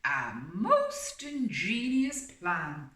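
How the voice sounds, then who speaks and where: A woman voicing an agreeable old lighthouse keeper in a read-aloud storybook, speaking slowly and properly. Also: medium pitch, huge pitch swings, a bit bright, voice pushed up, full character voice. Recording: echoey room, far from mic.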